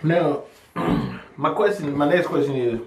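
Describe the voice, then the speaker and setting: A man's voice: fairly high pitch, unhurried, roomy sound, background noise. A man hosting a casual podcast interview, laid-back and slangy, filling his talk with "you know what I'm saying". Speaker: podcast host. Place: home podcast room.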